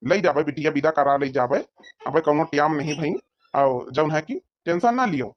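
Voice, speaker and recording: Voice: mid-range voice, normal speed, lightly acted. An actor voicing an exasperated village father of the groom in a comedy cartoon, scolding and rambling, on lo-fi audio. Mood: reassuring. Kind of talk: amateur comedy cartoon dialogue.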